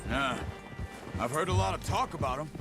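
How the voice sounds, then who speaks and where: A man voicing a calm, self-reliant American outlaw of the Old West in a video game, terse and understated. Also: a little high, moderate pace, lightly acted. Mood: guarded, curious. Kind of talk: video game character dialogue. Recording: game audio under loud music. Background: loud music.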